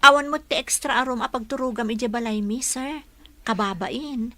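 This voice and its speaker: A woman's voice: a little high, normal speed, bright, forceful tone. A bashful young woman. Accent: Ilocano. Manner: bashful, polite. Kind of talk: radio drama acting.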